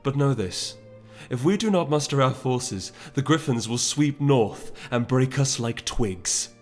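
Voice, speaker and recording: A man's voice: mid-range voice, animated, a little slow, a little mellow, close to an everyday voice. A stern royal mare of a fantasy storybook, acted out by a man. She speaks formally and imperiously, with weary authority, over background music. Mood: commanding anger. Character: regal mare princess, stern, weary, commanding.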